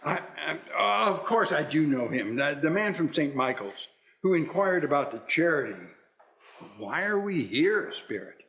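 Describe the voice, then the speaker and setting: A man playing a troubled, questioning older miser with an earnest, halting delivery in an amateur radio play. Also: a little high, very animated, a bit bright, full character voice. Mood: puzzled, halting.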